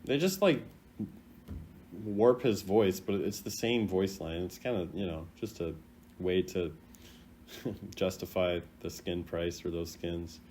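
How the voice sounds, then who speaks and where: A man giving casual, chatty commentary on game voice lines in an animated, playful way. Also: sits low, slow, pauses often, slight background noise. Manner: animated, acts out imagined quotes in exaggerated voices. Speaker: gaming content creator.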